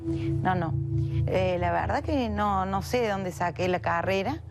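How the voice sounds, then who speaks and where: A woman with an Argentine accent, reflecting on her life in an interview, thoughtful and pausing often. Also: medium pitch, gravelly. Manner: thoughtful, with pauses and fillers. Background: music.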